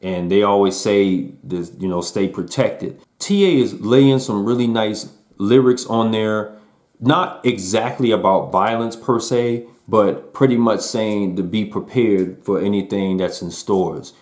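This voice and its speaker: A man giving a casual album review, talking in a relaxed, conversational way with slang and fillers. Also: lowish voice, unhurried. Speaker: music reviewer.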